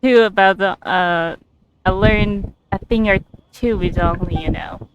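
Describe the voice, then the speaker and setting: A woman doing casual let's play commentary as a game streamer, in a halting, giggly way. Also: mid-range voice, lo-fi sound.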